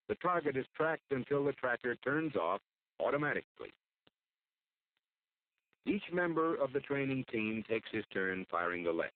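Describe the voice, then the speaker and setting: An American man delivers formal, measured scripted narration for an instructional military training film. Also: on the low side. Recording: muffled phone-like old film soundtrack.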